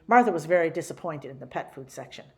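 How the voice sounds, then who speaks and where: A woman reading a children's picture book as a story-time host, with theatrical, deliberate emphasis. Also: sits low, animated, darkish tone. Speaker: library story-time reader.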